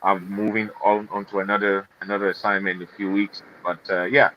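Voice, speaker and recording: A man's voice: lowish voice, level tone, a little fast. A man from Liberia, a guest, talking earnestly about his work and family with many fillers. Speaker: guest, NGO executive. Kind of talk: conversational interview. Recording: slightly dull, faint noise.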